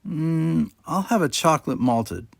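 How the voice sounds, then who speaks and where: A man's voice: fairly high pitch, animated, unhurried, creaky, no room sound, voice pushed up, full character voice. A man reads the part of a friendly schoolboy in a space storybook. The delivery is earnest and questioning, recorded dry and close. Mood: casual. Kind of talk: acted dialogue in audiobook reading.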